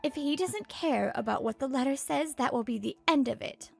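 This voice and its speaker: A woman's voice: high-pitched, moderate pace, gravelly, soft background music. A woman voicing an older mother, frank and cautionary, in a manga dub. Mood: cautioning. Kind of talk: scripted manga dub dialogue.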